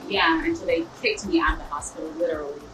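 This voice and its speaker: A woman's voice: sits low, little pitch movement, quick pace, music in the background. A woman from Texas, talking frankly as an interview guest, with many "um"s and "you know"s. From Texas, United States. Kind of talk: conversational interview. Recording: echoey room, voice far from the mic, clear background noise.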